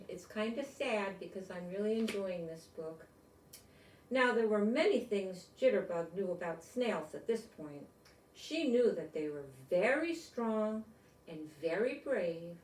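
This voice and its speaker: An older woman reading a picture book aloud with chatty asides, explaining gently and simply as if to children. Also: on the low side. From United States. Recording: roomy echo, distant microphone.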